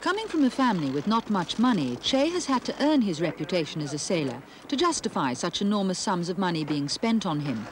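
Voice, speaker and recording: A woman presenting a television program, reading measured narration and putting questions to a guest. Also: on the low side, sing-song. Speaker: host. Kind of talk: scripted documentary narration and studio interview. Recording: faint noise, 1970s television broadcast.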